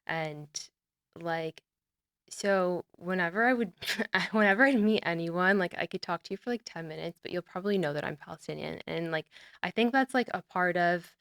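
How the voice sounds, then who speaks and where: A woman's voice: lowish voice, normal speed, clean tone, dry recording, studio quality. A young adult woman from the United States, a podcast guest talking casually and earnestly, often saying "like", trailing off and restarting. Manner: relaxed and earnest, heavy use of "like", trailing off and restarting. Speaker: podcast guest, olive oil brand founder. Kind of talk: casual interview conversation.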